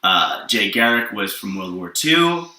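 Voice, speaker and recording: A man's voice: fairly low pitch, big pitch swings, a little slow, pushed and bright. A man rambling through a casual, improvised story explanation, often checking that his listener follows. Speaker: storyteller presenting his own superhero story. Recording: roomy echo, distant from the mic.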